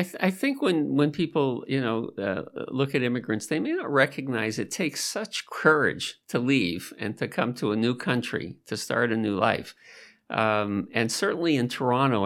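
A man hosting a podcast interview, asking measured, thoughtful questions. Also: on the low side, gravelly, a bit bright. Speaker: podcast host.

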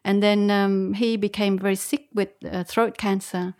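Female guest, nun from Vietnam raised in Australia. Reflective personal storytelling, halting delivery, mid-range voice. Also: mid-range voice, level tone, darkish tone. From born in Vietnam, grew up in Australia from age eight. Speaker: podcast guest, Buddhist nun.

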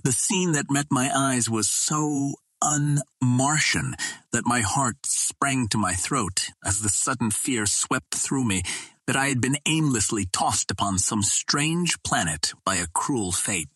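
A man reading an old adventure novel as an audiobook narrator, in a measured, dramatic storytelling manner. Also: mid-range voice, fairly bright tone, slightly rough, no echo.

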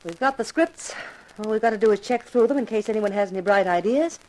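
An actress playing a brisk, skeptical, businesslike television producer in a 1950s radio drama. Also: female voice, medium pitch, darkish tone, lightly acted, poor audio. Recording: vintage 1950s radio recording.

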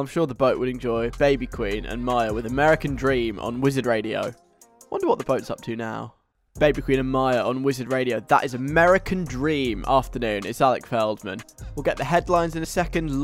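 A young British man hosting a radio show in a conversational, wry, chatty style. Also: medium pitch.

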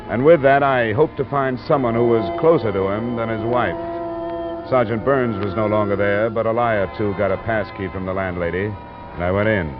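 A man acts out a hard-boiled American investigator in a 1950s radio drama. His voice sits low and his delivery is clipped and matter-of-fact. The recording is dull and aged, with music behind. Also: close to an everyday voice. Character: cool, hard-boiled male insurance investigator. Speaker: lead actor and narrator.

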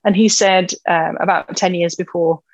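A woman's voice: on the low side, steady tone, moderate pace, dry recording, lo-fi sound. A woman talking enthusiastically in a casual conversation about languages, with lots of "you know" fillers.

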